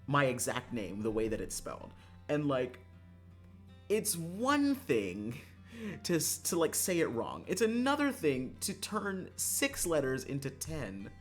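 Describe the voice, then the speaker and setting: A livestreamer chatting casually to viewers in an animated, exasperated way, swearing and laughing often. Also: mid-range voice, unhurried, faint music behind. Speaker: livestreamer, gaming streamer.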